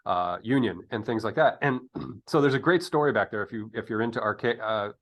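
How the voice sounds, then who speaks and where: A man hosting an interview in casual conversation, digressive and halting, often correcting himself with a jocular streak. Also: lowish voice. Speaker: podcast interview host. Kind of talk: casual interview chat with rambling history asides.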